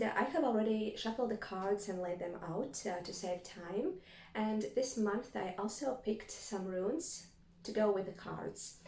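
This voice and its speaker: A woman's voice: medium pitch, mellow, reverberant. Woman reading tarot. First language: Latvian. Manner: reflective, thinking aloud while reading cards. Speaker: tarot reader. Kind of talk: tarot reading addressed to viewers.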